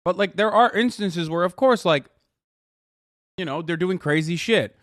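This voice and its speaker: A man's voice: high voice, normal speed, fairly bright tone, dry recording, studio quality. A man streaming, reacting to clips with casual, exasperated, profane commentary. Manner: exasperated, sarcastic, swears a lot.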